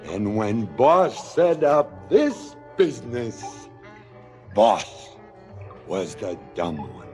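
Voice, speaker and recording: An actor playing an embittered, maimed police chief, brooding and theatrical in a radio drama monologue. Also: male voice, on the low side, very animated, darkish tone, exaggerated voice. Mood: coldly triumphant. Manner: deliberate, brooding, theatrical storytelling. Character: embittered, maimed middle-aged police chief, coldly vengeful. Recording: music under the voice. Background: music.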